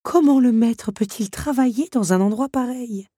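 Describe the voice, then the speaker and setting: A woman's voice: fairly high pitch, moderate pace, warm tone, breathy edge, no room sound, clean studio sound, pitched above the performer's own voice. A woman voicing a bossy, motherly teapot in a storybook reading, exclaiming and scolding.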